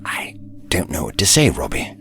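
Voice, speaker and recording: Audio drama: eccentric, excitable male time traveller, played by a man, low-pitched, highly animated, theatrical. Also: moderate pace, faint music behind, heavily acted. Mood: bewildered.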